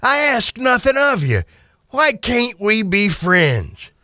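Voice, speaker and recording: A man playing a warm-hearted, cheerful young gentleman, earnest and pleading, in a radio drama. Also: high-pitched, big pitch swings, slow pace, no room sound, clean studio sound, pitched above the performer's own voice, full character voice.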